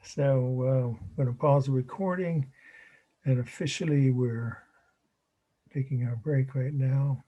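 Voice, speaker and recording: A middle-aged man lecturing a college art history class, slightly hoarse, rambling with fillers and self-corrections. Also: medium pitch, measured pace, mellow, highs cut off, a little hiss or hum.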